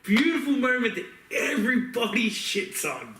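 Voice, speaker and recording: A man's voice: very high voice, very animated, normal speed, bright, forceful tone, slight background noise. A man co-hosting a podcast, chatting casually in a slangy, opinionated and joking way. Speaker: podcast co-host. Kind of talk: casual chat about video games and films. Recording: roomy echo, distant mic.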